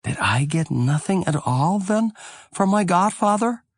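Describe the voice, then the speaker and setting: A man's voice: high-pitched, animated, measured pace, no room sound, studio quality, voice pushed up. A man voicing an eager, hopeful young boy in a fairy tale, earnest and wide-eyed. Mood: plaintive questioning.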